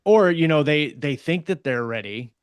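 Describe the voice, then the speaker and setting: A man's voice: fairly high pitch, lively intonation, normal speed, clear, resonant tone, no echo, clean studio sound. A man co-hosting a podcast in casual discussion, often checking that the listener agrees. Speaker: podcast co-host.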